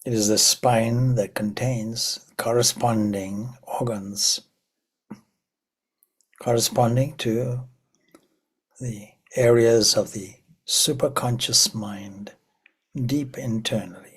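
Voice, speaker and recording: A man leading a guided meditation in a slow, prayerful way, letting phrases trail off into soft whispers. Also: medium pitch, steady tone, husky. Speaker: meditation teacher.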